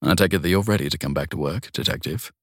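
A man's voice: deep voice, fast talker, dry recording. A man voicing a wry male police detective in his late forties in an audiobook, dry and matter-of-fact. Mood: gently wry. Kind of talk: audiobook character dialogue.

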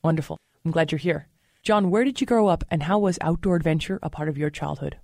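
A man's voice: fairly high pitch, fast talker, creaky, a little mellow, no room sound. A man hosting a radio interview in a studio, introducing and welcoming his guest. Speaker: radio host.

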